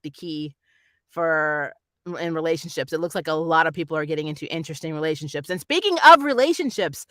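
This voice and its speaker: A host talks through a casual review in a bright, crisp-edged voice at a middling pitch. The delivery is chatty and opinionated, with amused asides. The sound is dry, with very little room around it. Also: no echo. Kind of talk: casual spoiler review commentary.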